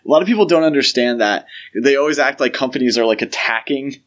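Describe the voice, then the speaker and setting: A man's voice: medium pitch, quick pace. A man co-hosting a casual podcast discussion, explaining things in an opinionated way. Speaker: podcast co-host.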